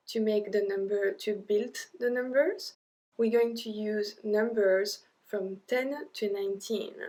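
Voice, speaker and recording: A young woman with a French accent, teaching an online language lesson, drilling number words slowly with chatty asides. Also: mid-range voice, a little mellow. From French-speaking Europe.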